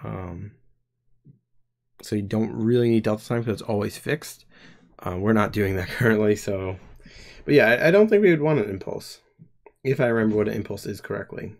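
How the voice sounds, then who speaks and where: A man in his twenties talks through his code on a live stream, working out the logic aloud. His voice sits a little low. He pauses and restarts often as he thinks. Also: expressive, creaky. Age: 20s. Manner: hesitant, many pauses and restarts. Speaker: game developer streamer.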